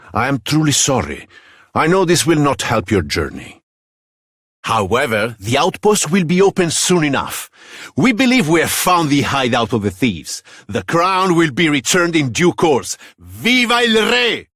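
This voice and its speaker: An actor playing a courteous, loyal soldier captain with an Italian accent, gallant and formal, in a fantasy video game. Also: male voice, medium pitch, wildly swooping pitch, measured pace, fairly bright tone, slightly rough, dry recording, studio quality, full character voice. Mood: courteous, earnest.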